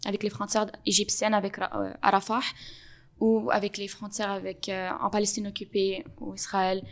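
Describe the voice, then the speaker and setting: A young adult woman from Montreal with a Quebec French accent, answering interview questions in an earnest, explanatory way with frequent pauses. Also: mid-range voice, little pitch movement, gravelly. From Montreal, Canada. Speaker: podcast guest.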